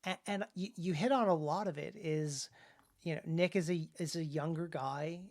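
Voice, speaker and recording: A man's voice: a little high, moderate pace, a little mellow. A man co-hosting a podcast and talking casually about his writing, often repeating words as he goes. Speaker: podcast co-host and author.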